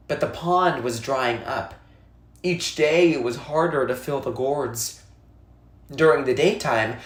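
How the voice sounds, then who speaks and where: A narrator reading a children's novel aloud in a measured, expressive storytelling way. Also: medium pitch. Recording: echoey, roomy sound.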